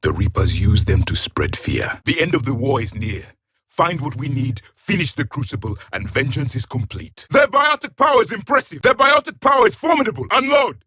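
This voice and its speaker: A man's voice: medium pitch, huge pitch swings, moderate pace, fairly bright tone, husky, no echo, clean studio sound, heavily acted. A man playing a stern, vengeful ancient alien warrior for a video game, speaking in blunt, formal phrases. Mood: vengeful, resolute, then urgent battle cries. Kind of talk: video game character voice lines.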